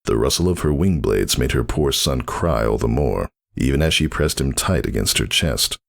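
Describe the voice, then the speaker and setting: A man's voice: extremely low pitch, lively intonation, moderate pace, creaky. A man narrating a story as a dramatic reading, solemn and theatrical. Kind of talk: dramatic scripted fan fiction narration.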